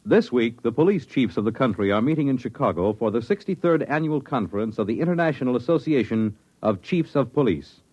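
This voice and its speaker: A man's voice: medium pitch, expressive, fairly quick, few pauses, darkish tone. A man announcing a 1950s radio show in a grand, portentous, dramatic style. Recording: muffled vintage radio broadcast. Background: music.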